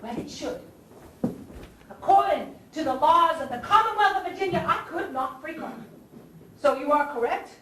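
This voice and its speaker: A woman acting an impassioned Southern abolitionist lady spy in a Civil War stage play, delivering a theatrical monologue to the audience with dramatic pauses. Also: sits high, fairly bright tone, distant mic. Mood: earnest, confiding. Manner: theatrical, declamatory, with dramatic pauses and rhetorical questions. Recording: echoey stage recording from afar, faint noise.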